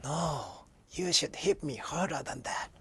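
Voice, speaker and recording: A gentle blue orc from a children's storybook, as a man puts him on, speaking softly and tenderly in a dry, close voice. He is quiet and steady. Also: fairly high pitch, takes it slowly, no room sound. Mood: whispering. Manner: hushed and soft. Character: gentle self-sacrificing male blue orc.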